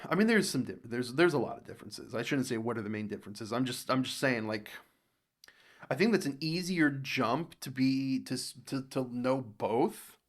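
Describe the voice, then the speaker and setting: A young American man talks casually in a mid-pitched voice, reading viewer comments aloud and mulling them over. He rambles, trails off and restarts his sentences as he works out his thoughts. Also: expressive, moderate pace. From United States. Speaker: reaction video host.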